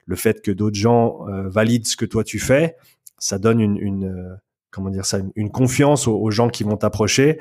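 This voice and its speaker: Male guest, low-ish voice, casual and hesitant, restarts often. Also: normal speed, a little mellow. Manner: hesitant, many restarts and fillers; bilingual. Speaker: guest, strength coach. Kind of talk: casual podcast interview.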